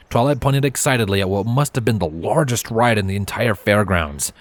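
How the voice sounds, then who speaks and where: A man's voice: lowish voice, no room sound. A man reading a story aloud as narrator, in scripted storybook narration. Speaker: narrator, fan fiction reader.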